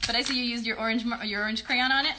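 A woman's voice: on the high side, fairly even intonation, very fast, sharp tone, slight background noise. A woman in her thirties chatting encouragingly with children as a puppet show host, with simple questions and praise. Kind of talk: casual, encouraging chat with children. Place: bedroom at home. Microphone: phone a short distance away.

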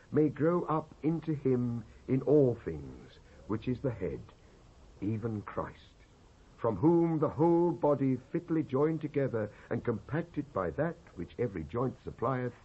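A British man giving a solemn, emphatic, oratorical dramatised reading of King James scripture, with a husky voice, on an old cassette recording. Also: mid-range voice. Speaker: scripture narrator. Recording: dull lo-fi cassette transfer.

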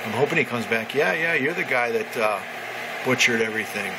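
Man thinking aloud over loud radio noise. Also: mid-range voice, lively intonation, a little fast. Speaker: amateur radio hobbyist. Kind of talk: casual hobby vlog, narrating as he goes. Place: small indoor home radio room. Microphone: handheld camera or phone mic. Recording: very noisy handheld camera, radio noise behind.

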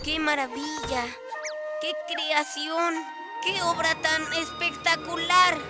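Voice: extremely high pitch, level tone, slow, loud music behind the voice. An actor voicing a vain boy ninja villain in a children's cartoon, boastful and theatrical, with a pompous, commanding way of talking. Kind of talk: animated children's cartoon dub.

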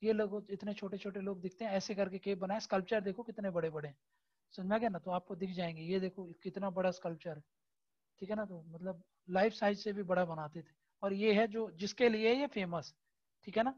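A man teaching an exam-prep lesson over a screen recording, explaining slowly with many hesitation fillers and constant checks that his students understand. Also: high voice, steady tone, darkish tone, muffled recording. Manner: keeps checking understanding with tag questions.

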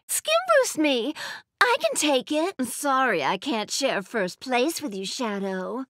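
Casting: a cocky, coquettish female bat thief in a racing game; very animated intonation, unhurried sassy teasing. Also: sits high, dry recording, studio quality, full character voice. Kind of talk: video game character voice lines.

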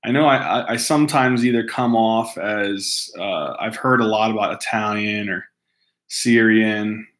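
Relaxed man reading fan mail from home. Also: lowish voice, measured pace. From Hawaii. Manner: relaxed, laughs often, easily distracted, frequent restarts. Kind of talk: casual chat to camera. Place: room at home. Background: dogs barking at times.

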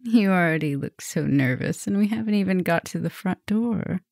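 A woman playing a flirtatious, softly dominant vampire professor in an ASMR roleplay, intimate and teasing, sometimes dropping to a whisper. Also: fairly low pitch, animated, a little slow, gravelly, no room sound, studio quality. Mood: teasing, flirty. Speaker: roleplay audio performer.

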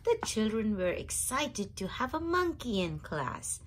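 A woman's voice: on the high side, big pitch swings, a little slow, slightly rough. A woman reading a children's story aloud expressively, drawing out key words for young listeners. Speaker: storybook reader.

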